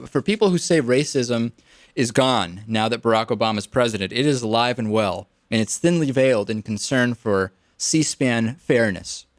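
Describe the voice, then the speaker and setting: A man's voice: medium pitch, dry recording, studio quality. A man with an American accent giving political commentary as a radio talk show host.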